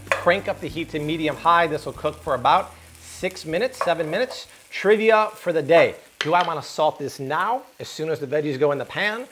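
A man in his thirties hosting a cooking video in a home kitchen, energetic and jokey, chatting with his crew as he cooks. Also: on the high side. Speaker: cooking show host. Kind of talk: upbeat cooking demo.

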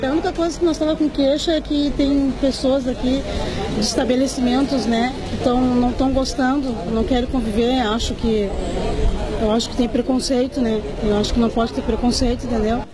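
A woman's voice: high voice, steady tone, a little fast, music in the background, poor audio. A middle-aged woman interviewed on a city street, speaking emphatically. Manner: emphatic, repeats "entendeu". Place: outdoors on a busy city street.

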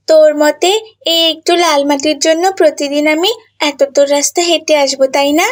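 Very high voice, bossy little cartoon girl, acted by a woman, chatty, excitable, expressive. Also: natural voice. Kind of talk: children's cartoon dialogue.

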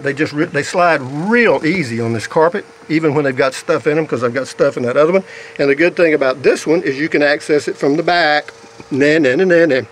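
A man's voice: on the high side, slight background noise, rough recording. A man giving a folksy, rambling walkthrough of his pickup camper, filmed on a handheld phone. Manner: folksy, rambling, keeps asking "okay?". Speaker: vlogger showing his camper build. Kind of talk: casual walkthrough. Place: in and around a pickup camper shell outdoors.

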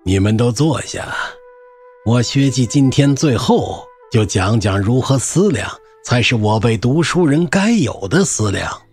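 An actor playing a spirited, easygoing old Confucian scholar in an audio drama, expounding wryly and unbowed. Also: male voice, medium pitch, measured pace, breathy edge, faint music behind. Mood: proud, rousing. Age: older. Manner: wry, expounding, animated. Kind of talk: Chinese audio drama acting.

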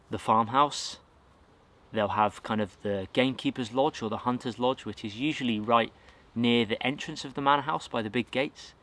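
A man in his thirties with an English accent chats to the camera from a garden. His voice sits fairly low, and he rambles easily, full of fillers and little self-corrections. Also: a bit bright. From England. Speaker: outdoors vlogger. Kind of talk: casual talk to camera. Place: outdoors in a garden by a wooden shed.

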